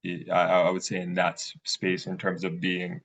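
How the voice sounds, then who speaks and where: A man hosting an interview who asks questions haltingly, with many stammers and fillers. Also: deep voice, monotone, gravelly, fairly bright tone, highs cut off. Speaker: interviewer, host.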